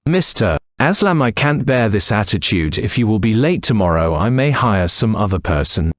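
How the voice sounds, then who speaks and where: Man acting a stern factory manager, low animated voice. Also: normal speed, dry recording. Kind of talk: cartoon moral story dialogue.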